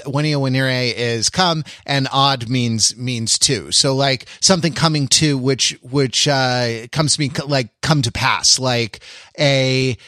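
A man in his forties co-hosting a podcast in casual analytical discussion, speaking in a halting, discursive way and often interrupting himself. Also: medium pitch, bright, forceful tone, no echo, clean studio sound. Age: 40s. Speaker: podcast co-host.

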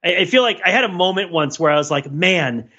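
A man in a casual panel discussion, rambling through wry, animated, digressive analysis of pop culture. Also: sits high, brisk, husky, dull audio. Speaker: podcast panelist.

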